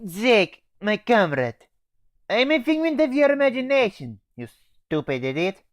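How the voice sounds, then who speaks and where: A man's voice: high voice, very animated, measured pace, no echo, clean studio sound, pitched above the performer's own voice. A teenage boy voicing a Russian male soldier obsessed with explosive charges, teasing and calling everyone comrade. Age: teens. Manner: teasing, calls listener comrade.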